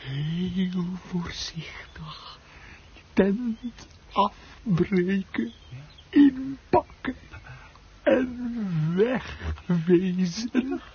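An actor playing a panicky grown son in a comic radio play, stammering drawn-out warnings to his elderly father. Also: high-pitched, dark tone. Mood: hushed, urgent. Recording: dull lo-fi radio recording with noise.

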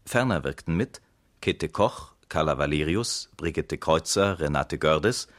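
Deep-voiced male radio announcer, dry studio. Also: expressive, fairly bright tone, dry recording. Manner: measured, formal. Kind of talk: scripted closing credits announcement.

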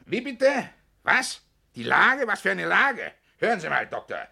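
An actor playing a blustering, suspicious Nazi-era farm official in a 1950s German radio play. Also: male voice, high voice, wildly swooping pitch, unhurried, gravelly, sharp tone, breathy edge, heavily acted. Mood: indignant, blustering. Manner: blustering, officious, insistent questioning.

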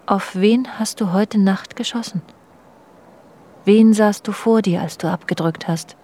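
A woman's voice: medium pitch, a little slow, dark tone, lightly acted. An actress in a German radio drama playing a worldly wife who confides in her husband about their restless, jealous marriage. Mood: probing, intense. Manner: literary, confiding, addressing her husband directly. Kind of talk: German radio drama, intimate narration and dialogue. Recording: music behind the voice. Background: music.

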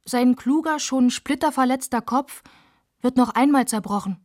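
Woman as wry granddaughter narrator, fairly high voice, spare, deliberate, reflective, literary radio drama. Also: a little mellow, dry recording, clean studio sound, natural voice.

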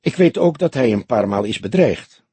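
A man's voice: medium pitch, expressive, normal speed, mellow, slightly rough, no room sound. A man voicing a composed, proud and stern newly widowed woman in an audiobook crime story.

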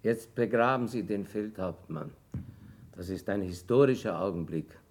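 A man's voice: on the low side, moderate pace, a little mellow, breathy edge. An actor playing a solemn man of the army camp in a radio drama. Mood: grave. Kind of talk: German radio drama acting.

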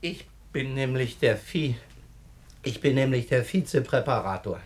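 A man plays a drunken laboratory man full of his own importance. The pitch swings widely as he blusters and repeats himself indignantly, in radio drama fashion. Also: animated, a little slow, lightly acted. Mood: rambling. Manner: tipsy, blustering, rambling repetitions.

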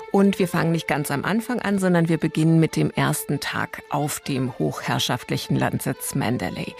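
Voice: mid-range voice, vocal fry. A radio host presenting and introducing a literary reading in a studio.

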